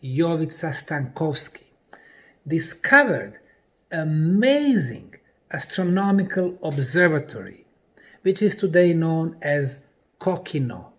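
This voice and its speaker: A man presenting in a lecture style, deliberately, in short separated phrases with emphasis on key words. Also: fairly high pitch. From Bosnia. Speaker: presenter and researcher.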